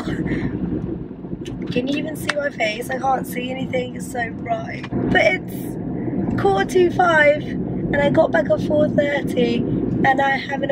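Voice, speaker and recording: A woman's voice: high voice, heavy background noise. A young woman in her twenties, a dental student, chatting casually to a phone held at arm's length in her car and bedroom, her voice still recovering from illness. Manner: chatty, rambling, self-deprecating. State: recovering from lost voice. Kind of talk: casual vlog chat to camera. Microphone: phone camera at arm's length.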